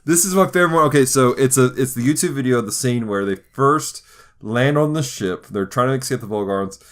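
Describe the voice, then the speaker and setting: A man's voice: medium pitch, fairly quick, a bit bright. A man hosting a podcast, chatting casually in a jokey, easygoing conversational style. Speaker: podcast host. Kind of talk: casual chat.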